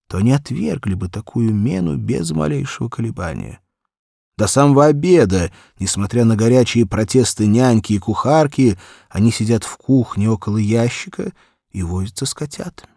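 Male audiobook narrator, low slightly husky voice, theatrical, measured, very animated intonation. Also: no echo, clean studio sound. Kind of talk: expressive literary audiobook narration for children.

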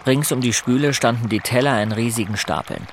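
A man's voice: mid-range voice, fairly quick, a little hiss or hum, natural voice. An actor narrating in the first person as a brooding man recalling boyhood, scripted for a German audio drama. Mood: reflective.

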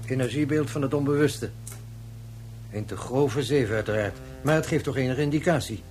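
An actor playing a courteous, politely condescending scientist in a radio drama, speaking in a composed clinical way. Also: male voice, medium pitch, normal speed, a little mellow, faint music behind, close to an everyday voice. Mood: clinical, brisk. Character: composed, courteous male scientist interrogating with brain-scanning apparatus.

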